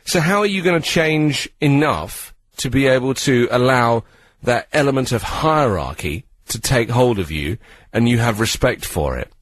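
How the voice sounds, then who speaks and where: A man with a British accent hosting a radio phone-in, giving earnest conversational advice and posing questions in a measured way. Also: mid-range voice, expressive, dry recording. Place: radio studio.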